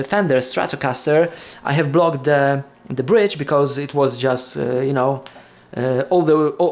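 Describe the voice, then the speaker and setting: Halting Polish-accented man, casual vlog. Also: a little high. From Poland. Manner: halting, many fillers and restarts. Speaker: vlogger. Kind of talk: casual unboxing talk.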